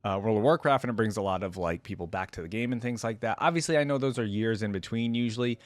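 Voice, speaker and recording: A man's voice: fairly low pitch, quick pace, dry recording. A young adult man giving casual, conversational reaction commentary as a video host, thinking aloud. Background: game cinematic music.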